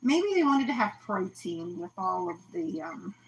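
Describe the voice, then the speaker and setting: A woman's voice: mid-range voice, very animated, measured pace, vocal fry, highs cut off, slight background noise. A woman hosting a casual livestream, chatty, telling stories and laughing often. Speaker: host of an aquarium livestream. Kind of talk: casual chat.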